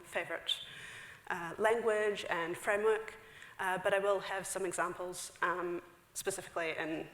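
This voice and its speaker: A woman's voice: lowish voice, fairly bright tone. A woman in her thirties giving a casual, filler-heavy technical talk on a theater stage. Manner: chatty, frequent fillers, quick asides with laughs. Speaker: conference speaker. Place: large dark theater stage. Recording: echoey hall.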